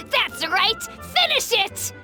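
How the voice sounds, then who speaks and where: A woman's voice: very high-pitched, big pitch swings, drawn-out words, full character voice. A woman playing a small, earnest, playful boy with a childlike voice in an anime game. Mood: playful, cocky. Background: game music.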